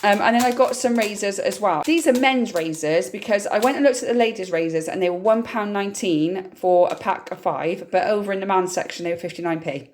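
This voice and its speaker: A woman's voice: medium pitch, brisk, hardly any pauses, darkish tone. A British woman chatting casually through a grocery haul vlog, sounding slightly nasal and a little scratchy from a cold. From United Kingdom. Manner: chatty, informal, explanatory. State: getting over a cold, slightly scratchy throat. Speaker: vlogger.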